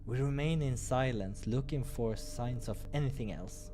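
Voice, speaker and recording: A man's voice: mid-range voice, moderate pace, music in the background. A man reading visual novel narration aloud as the narrator of a playthrough.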